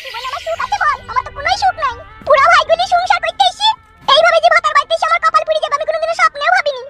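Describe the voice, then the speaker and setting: A performer voicing an aggrieved, sharp-tongued village mother in a comic cartoon, shrill and complaining, with a rural West Bengal accent. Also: very fast, soft background music. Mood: self-pitying.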